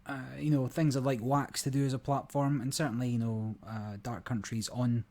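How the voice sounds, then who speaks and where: A man hosting a gaming channel, talking through a card game in a relaxed, rambling way, his voice a little croaky. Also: medium pitch, moderate pace. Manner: relaxed, rambling, many fillers. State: slightly croaky voice. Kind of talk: casual gameplay commentary.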